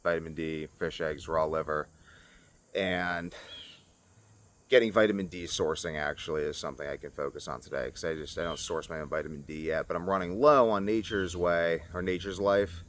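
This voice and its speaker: A man's voice: sits low, steady tone, normal speed, fairly bright tone. A man talking casually to viewers in a loose, informal, rambling vlog style. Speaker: vlogger. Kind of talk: casual rambling fitness and lifestyle vlog talk.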